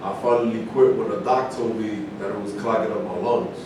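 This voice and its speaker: A man's voice: fairly even intonation, brisk, natural voice. A man acting in a staged reading as a brash, unapologetic ex-boxer in conversational dialogue. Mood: flippant. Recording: very echoey room, distant, rumbling noise.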